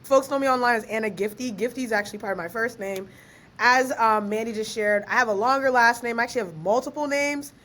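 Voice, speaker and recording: A young woman, born in Ghana and raised in Maryland, chatting as a podcast guest in a lively, slangy way and laughing easily. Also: on the high side, fast talker. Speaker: guest, author and researcher. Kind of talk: casual podcast interview.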